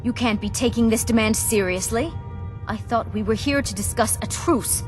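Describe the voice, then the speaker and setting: A woman's voice: fairly high pitch, moderate pace, faint music behind, close to an everyday voice. An actress voicing a haughty, indignant noblewoman in a fantasy game, accusing and affronted. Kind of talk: dramatic fantasy game dialogue.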